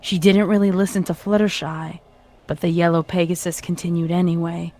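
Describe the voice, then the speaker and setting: A woman's voice: lowish voice, flat delivery, fairly quick, darkish tone. A woman reading scripted horror fan fiction as its narrator. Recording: music behind the voice.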